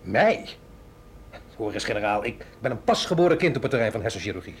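Low-voiced, wry male agent. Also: big pitch swings, fairly quick, a little hiss or hum. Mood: hesitant, wry. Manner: wry, questioning, understated. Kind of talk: radio drama acting.

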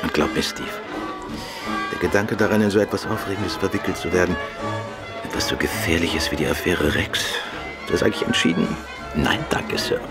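Ein Schauspieler spielt in einem Hörspiel einen weltgewandten, ironisch neckenden Krimiautor und Amateurdetektiv. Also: male voice, sits low, normal speed, loud music behind the voice, natural voice. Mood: teasing, ironic. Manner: suave, ironic, playful. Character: urbane, teasing gentleman novelist and amateur detective. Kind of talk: German radio play acting.

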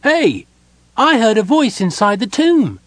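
A man voicing an excited young kender boy who shouts eagerly. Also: extremely high pitch, measured pace, no room sound, voice pushed up, heavily acted.

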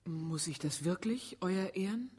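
Slow, husky, reluctant female witness. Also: fairly low pitch, lively intonation, warm tone. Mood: uneasy, hesitant. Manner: hesitant, halting. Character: reluctant, uneasy female witness, a paid companion. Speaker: actress in radio drama. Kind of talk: German radio drama acting.

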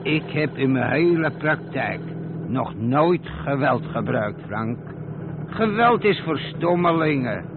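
An actor playing an old career thief in a radio play, lecturing emphatically. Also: male voice, mid-range voice, expressive, takes it slowly, narrow, tinny audio. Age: older. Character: old male career thief, insistent, preaching against violence. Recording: noisy, thin old radio recording.

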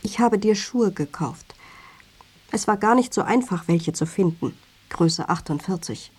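An actress playing a lonely, needling, attentive wife in a radio drama, half-whispering in a soft, coaxing, wounded way. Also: female voice, medium pitch, animated, unhurried, slightly rough. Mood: gentle, intimate.

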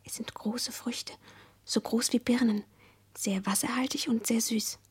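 An actress playing a dreamy, childlike young woman, speaking in a hushed, intimate, breathy whisper in a radio play. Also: on the high side, fairly even intonation, measured pace. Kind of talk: German radio play acting.

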